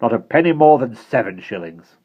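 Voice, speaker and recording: A man reading aloud voices a stern, suspicious woman who runs a school, sharp and commanding. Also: medium pitch, animated, fairly quick, breathy edge, pitched above the performer's own voice. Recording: dull, lo-fi recording.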